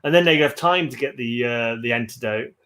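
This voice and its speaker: Man, mid-pitched voice, casual book chat, musing, tentative, repeats himself. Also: a little fast, sharp tone, a little hiss or hum. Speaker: co-host of a book read-along.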